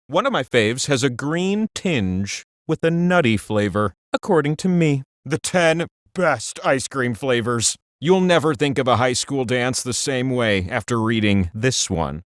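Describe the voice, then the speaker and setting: An actor playing a quirky, excitable trivia host in a party video game, with a theatrical, playful delivery and exasperated asides. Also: male voice, mid-range voice, no echo, clean studio sound. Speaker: game show host character. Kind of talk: quiz hint narration for a party video game.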